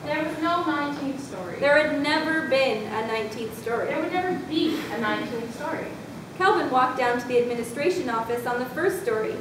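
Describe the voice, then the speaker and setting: A woman narrator telling a scripted school story as part of a readers theatre performance. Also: far from the mic. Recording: echoey room from afar, rumbling background noise.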